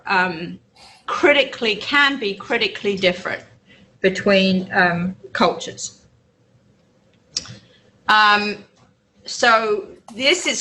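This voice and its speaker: A woman's voice: mid-range voice, bright, forceful tone, low-quality recording. A woman lectures a university class in an easy, conversational way. She leans on fillers and keeps checking in with the room. A faint hiss sits under the recording. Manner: conversational, chatty, explains with many fillers.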